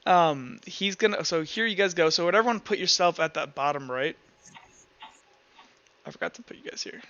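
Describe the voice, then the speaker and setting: Man, game master for a tabletop RPG, bright high voice, improvised narration, dull recording. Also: expressive, normal speed.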